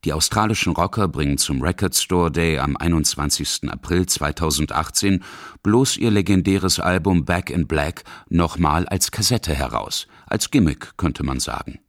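A man's voice: deep voice, normal speed, no echo, clean studio sound. A man reading scripted narration for a radio feature as its narrator. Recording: music under the voice. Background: music.

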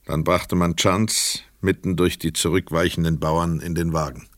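A man narrating a literary crime radio play in a measured, sober, scripted delivery. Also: sits low, steady tone, no echo, studio quality.

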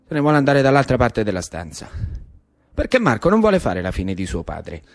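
A man with a Roman accent performing a dramatic storytelling monologue, building incantatory lists and repetitions. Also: mid-range voice. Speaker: actor-narrator.

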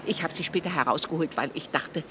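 A woman's voice: deep voice, quick pace, noisy background, close to an everyday voice. An actress playing a chatty, observant kiosk woman and housewife giving matter-of-fact testimony in a German radio crime drama.

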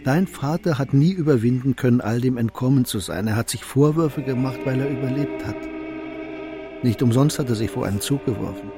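Actor as wise kindly older man, calm consoling mentor in a radio play, medium pitch, music behind. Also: fairly quick, natural voice. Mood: gentle, grave.

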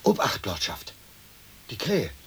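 Deferential butler, formal and dry, measured pace, widely swinging pitch, radio drama. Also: male voice, wildly swooping pitch, slight background noise, exaggerated voice. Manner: formal, measured, drily matter-of-fact. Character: dry, deferential manservant turning into a crow. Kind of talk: stylized German radio play acting.

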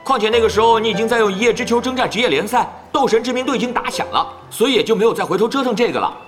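An actor playing a chatty, nosy young male pro gamer who pesters rapidly in an audio drama. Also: high-pitched, lively intonation, fairly bright tone. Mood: prodding. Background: background music.

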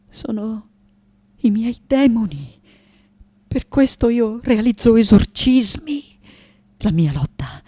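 A woman acting an intense, tormented elderly sculptor in a poetic radio monologue, with deliberate, dramatic phrasing and long pauses. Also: a little high, dark tone. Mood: intimate, hushed, then fierce, intense.

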